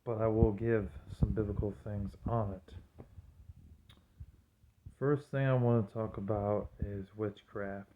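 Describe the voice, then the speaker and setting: A man with a fairly low voice talks in an informal, sermon-like monologue. He sounds earnest and admonishing, like a religious vlogger cautioning his viewers. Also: phone-quality sound. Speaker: vlogger giving religious commentary.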